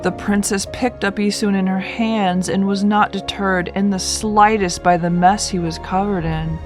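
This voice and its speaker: A woman narrating a children's folktale as a read-aloud, with expressive, deliberate delivery. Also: medium pitch, music in the background. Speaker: storyteller.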